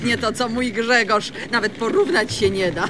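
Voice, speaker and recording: A woman's voice: fairly high pitch, steady tone, normal speed, crowd noise, lightly acted. A woman playing a gossipy older mother in a comic radio play. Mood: admiring. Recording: noisy old radio recording.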